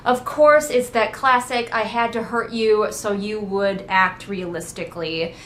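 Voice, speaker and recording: A woman's voice: mid-range voice, steady tone, measured pace, reverberant, a little hiss or hum. A woman giving casual, comedic book commentary as a host, in a sarcastic, sweary, conversational manner. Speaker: book critic and host of a readalong.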